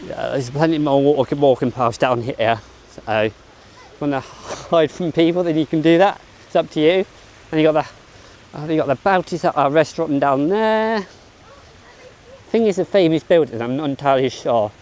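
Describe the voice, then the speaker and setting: A man vlogging at a theme park, rambling and unsure of himself, hoarse as he is losing his voice. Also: fairly high pitch, moderate pace, darkish tone. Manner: rambling, self-correcting, unsure. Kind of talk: casual vlog commentary.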